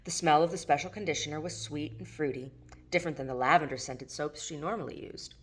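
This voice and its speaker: A woman's voice: sits low, steady tone, fast, slightly rough. A woman narrating her own work of fiction as an audiobook reading. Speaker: author reading own novel aloud.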